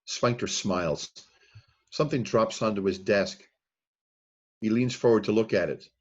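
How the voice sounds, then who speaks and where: Casual: low husky guy reading out scene directions, muffled dull recording. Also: measured pace. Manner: plain, steady reading of scene headings and action. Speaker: narrator of a screenplay reading. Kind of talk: reading stage directions aloud.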